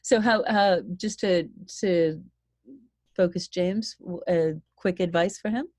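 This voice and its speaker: A woman's voice: lowish voice, takes it slowly, darkish tone, no room sound. A woman hosting a live video Q&A, chatty and encouraging as she reads out questions. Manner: chatty, encouraging, laughs between thoughts. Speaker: host.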